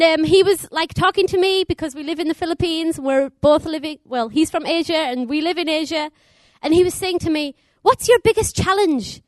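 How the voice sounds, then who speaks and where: A young woman preaches in a chatty, upbeat way and laughs often. She speaks English with a put-on American twang. Her voice is high, and the sound is close and dry. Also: no room sound. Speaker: missionary preacher. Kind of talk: sermon. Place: church.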